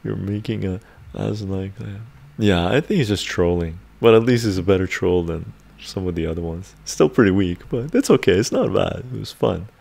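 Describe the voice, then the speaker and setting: Deep, gravelly middle-aged Canadian man. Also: darkish tone. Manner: unhurried, rambling, often adding "right?". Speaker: livestream host. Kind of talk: casual ASMR chat, answering viewers. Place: small book-lined room at home.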